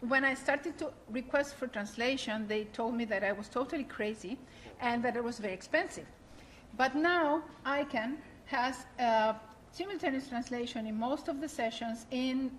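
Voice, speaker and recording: Woman moderator, fairly high voice, earnest, faint room hum behind. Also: normal speed, a bit bright, low-quality recording. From Argentina. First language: Spanish. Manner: earnest, explanatory, with frequent restarts and fillers. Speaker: workshop moderator. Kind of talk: panel moderation at a conference.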